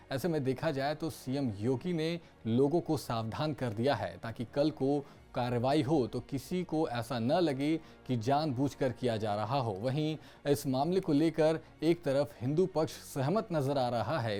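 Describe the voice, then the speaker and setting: Man reading news, dark-toned, over music. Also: mid-range voice. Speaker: news presenter. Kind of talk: scripted news narration. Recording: music bed behind voice. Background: background music.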